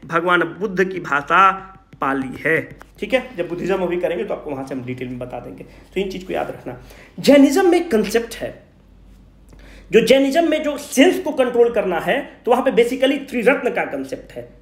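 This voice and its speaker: A man's voice: high voice, a bit bright. A man giving an informal exam revision lecture, asking questions and answering them himself in colloquial speech, mixing in English terms. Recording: echoey room.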